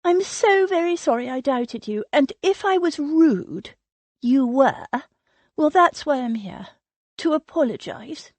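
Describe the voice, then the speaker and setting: Breathless, high-pitched young society lady, all effusive pleas and sudden gushes, her voice leaping about as she apologises, put on by a woman reading a novel aloud. The recording is close and dry. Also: lively intonation, unhurried, no room sound. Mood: apologetic. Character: gushing, anxious young society wife.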